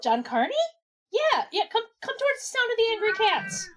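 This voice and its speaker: A woman acting in a comedic audio drama as herself, animated and exasperated. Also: very high-pitched, moderate pace. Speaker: podcast regular playing herself in a comedy skit.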